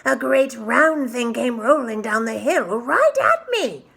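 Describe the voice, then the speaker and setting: A woman's voice: fairly high pitch, sing-song, slow pace, creaky, very noisy, voice pushed up, full character voice. Woman putting on a scheming, coaxing storybook wolf. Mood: sly. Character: scheming hungry male wolf, menacing storybook villain. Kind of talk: children's storybook read-aloud.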